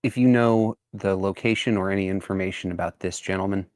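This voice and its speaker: A man's voice: sits low, fairly even intonation, fairly quick, a little mellow, dry recording, studio quality. A man playing a tabletop roleplaying game, speaking in a formal, matter-of-fact manner. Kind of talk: measured in-character roleplay.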